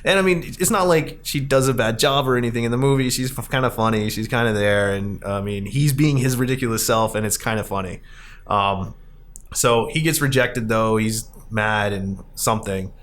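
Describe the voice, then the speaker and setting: A man's voice: medium pitch, normal speed, a bit bright. A man hosting a podcast in a casual, rambling, conversational style, as he recaps a film. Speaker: podcast host.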